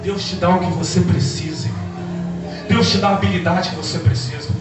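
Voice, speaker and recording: Man, impassioned preacher, hushed then shouting, echoing church hall, music underneath. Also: high voice, fairly even intonation, fairly quick. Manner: impassioned, swings between hushed and shouted, keeps addressing "brother". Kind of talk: sermon. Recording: echoey hall, voice far from the mic, music behind.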